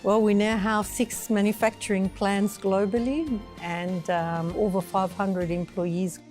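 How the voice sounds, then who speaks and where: A woman's voice: mid-range voice, level tone, unhurried, a little mellow. A woman from Egypt, a company co-founder, telling the company's story in an interview. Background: background music.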